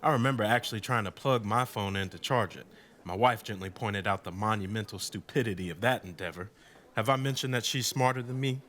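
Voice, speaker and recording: A man's voice: on the low side, a little fast, a bit bright, lightly acted. An actor playing a wry, self-deprecating husband being interviewed in a radio drama, telling his story in a sardonic, conversational way. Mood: wry, amused. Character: wry, indignant husband recounting blackout night, interviewee.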